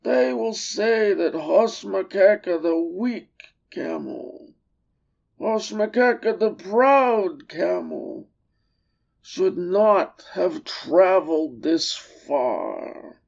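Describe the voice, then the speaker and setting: A woman voicing a weary, proud old camel in a storybook, groaning with self-pity in a drawn-out, dramatic way. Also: lowish voice, lively intonation, vocal fry, a little mellow, lo-fi sound, exaggerated voice. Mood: groaning, ashamed. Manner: slow, drawn-out, dramatic delivery.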